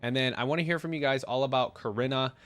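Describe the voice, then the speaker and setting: A man's voice: medium pitch, fast, a bit bright, smooth, no echo, faint music behind. A man with a cold, chatting casually and in a rambling way to his viewers as a reaction video host. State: congested with a cold. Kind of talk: casual rambling chat to viewers about a game.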